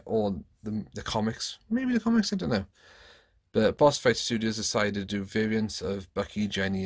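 A man in his thirties talks casually as he shows viewers around a room at home. His voice sits at an ordinary middle pitch, and he speaks off the cuff, correcting himself as he goes. It is recorded on a handheld phone indoors. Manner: chatty, off-the-cuff, self-correcting. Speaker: vlogger, collector. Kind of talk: casual room tour narration. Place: small rooms at home, indoors. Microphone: handheld phone, held by the speaker.

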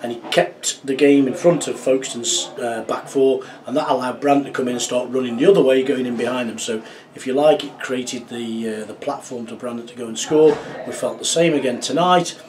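Middle-aged football manager, slightly distant. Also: male voice, medium pitch, a little mellow, a little hiss or hum. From England. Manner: measured, reflective, many fillers and restarts. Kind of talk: post-match interview. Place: small club office indoors.

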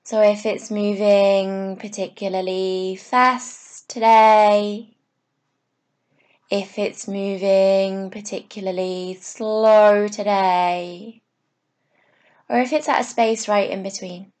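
Woman yoga instructor doing guided meditation, really slow and soothing, short phrases w pauses, mid pitched voice. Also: fairly even intonation, darkish tone. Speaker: yoga teacher.

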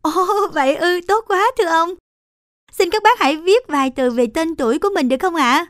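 A woman's voice: extremely high pitch, fairly quick, no echo, studio quality. A woman playing a perky young cartoon TV reporter doing a field interview.

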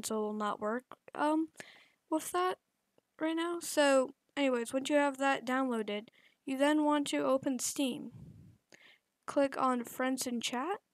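Young female gaming host, high voice, unhurried halting tutorial delivery with lots of ums, dry close sound. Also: dry recording. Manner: halting, many fillers and restarts. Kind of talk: casual screen-recorded software tutorial.

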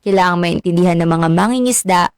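An actress playing a friendly, modest young marine biologist in a radio drama, with a Filipino accent and a conversational delivery. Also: female voice, fairly low pitch, fairly quick, clean tone, no echo, studio quality, lightly acted. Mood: dedicated.

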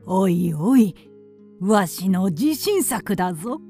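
A woman's voice: medium pitch, sing-song, takes it slowly, mellow, faint music behind. A woman playing a kindly, elderly woodcarver father in a radio drama, tender and doting in old-man speech. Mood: fond. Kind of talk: Japanese radio drama acting.

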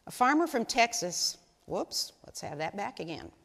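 A woman's voice: medium pitch, big pitch swings, normal speed, a bit bright. An older woman giving a chatty, folksy talk to a congregation in a large church sanctuary, on a lapel mic. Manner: chatty, folksy, asks the audience questions. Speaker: lay speaker at women's service. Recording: long hall reverb in sanctuary.

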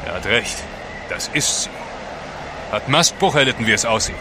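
An actor playing a wary, sardonic and plain-spoken seafarer on a polar trek in an audio drama. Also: male voice, medium pitch, animated, normal speed, close to an everyday voice. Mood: sceptical. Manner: blunt, sardonic. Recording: clear outdoor background noise.

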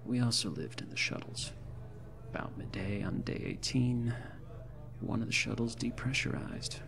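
A man playing a drunk, haunted space reclaimer in an ASMR roleplay, halting and rambling, often breathy and near a whisper. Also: medium pitch, natural voice. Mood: haunted, weary. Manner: slurred, halting, rambling with muttered asides. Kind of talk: in-character ASMR roleplay monologue. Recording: loud bar ambience music behind.

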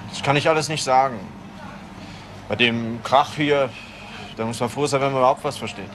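A man's voice: medium pitch, fairly even intonation, moderate pace, a bit bright, lightly acted. An actor playing a hesitant, evasive male witness in a radio crime drama, answering tersely. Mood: evasive, defensive. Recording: noisy recording with urban ambience.

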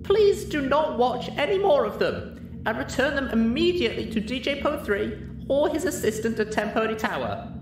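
Man putting on a high-pitched anxious young pony heroine. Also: expressive, moderate pace, echoey room, far from the mic, background noise. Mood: awkward, instructing. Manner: high, strained, hesitant delivery.

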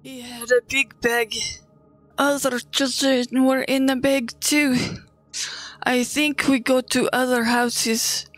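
A woman playing a frightened, traumatized child in a horror audio drama, speaking in halting, broken sentences. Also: sits high, a bit bright, poor audio.

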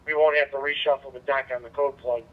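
A man's voice: mid-range voice, fairly even intonation, fast talker. A man chatting casually as an amateur radio operator over a digital two-way radio. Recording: muffled lo-fi digital radio transmission.

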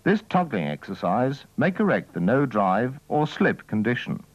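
A man's voice: medium pitch, very animated, moderate pace, dry recording. A man reading scripted instructional narration in a methodical, formal way, as the narrator of a training film. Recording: dull, dry old training-film soundtrack.

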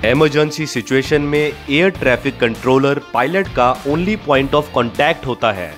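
A man's voice: mid-range voice, a little slow, a bit bright. A man with an Indian accent reads a scripted explainer as a video narrator, slipping between Hindi and English phrases. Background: background music.

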